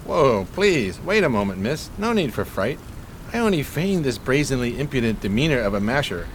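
A man's voice: medium pitch, very animated, moderate pace, a little hiss or hum. An actor playing a scheming homeless man in a 1920s radio drama, with florid, mock-genteel diction and a hapless air. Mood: smooth-talking. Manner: florid, ornate, theatrically polite diction. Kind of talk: radio drama acting, 1920s period piece.

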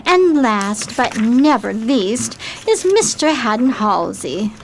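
An actress playing a chic, effusive 1920s society hostess in a radio play, with an affected manner. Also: female voice, on the high side, very animated, slow pace, vocal fry, slight background noise, heavily acted. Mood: gushing.

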